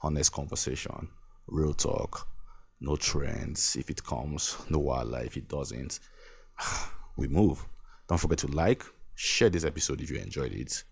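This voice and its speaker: Very deep gravelly Nigerian man. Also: a little slow. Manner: earnest, repetitive, laughs between points. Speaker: podcast host. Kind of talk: motivational monologue.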